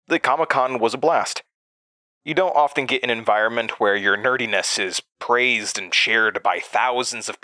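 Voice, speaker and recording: A man's voice: on the low side, bright, forceful tone, no room sound. An actor playing a malevolent entity with a man's borrowed voice, mocking and theatrical in a horror audio drama. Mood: wistful storytelling. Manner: mocking, sardonic, theatrical, toying menace.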